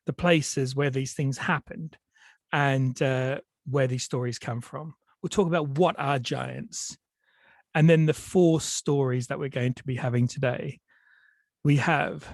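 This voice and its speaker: A man's voice: mid-range voice, dry recording. A man with a head cold, giving a storytelling talk over a video call, with lots of fillers and restarts. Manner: hesitant, many fillers and restarts. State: sniffly with a cold.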